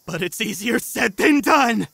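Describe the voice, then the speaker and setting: A man's voice: high voice, expressive, measured pace, vocal fry, a bit bright, dry recording, clean studio sound, voice pushed up, heavily acted. A man voicing a cocky young sorcerer in an anime fan dub, rambling in theatrical, exhilarated glee. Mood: giddy, manic. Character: manic young male sorcerer, cocky, exhilarated, newly powerful.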